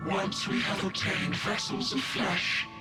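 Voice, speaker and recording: An actor voices an ancient alien intelligence from a video game, grim and condescending. The speech is slow and solemn, full of archaic phrasing. Loud music swells behind it. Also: mid-range voice, close to an everyday voice. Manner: solemn, archaic, measured pronouncements. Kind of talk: scripted video game acting.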